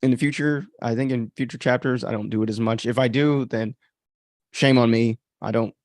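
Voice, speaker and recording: A man gives casual, self-critical commentary as a podcast host. His voice has a lot of creak and sits in a dry recording. He is informal and works through his thoughts as he talks. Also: mid-range voice, fairly even intonation, moderate pace, a little mellow, dry recording. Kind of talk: casual livestream commentary on own audio drama.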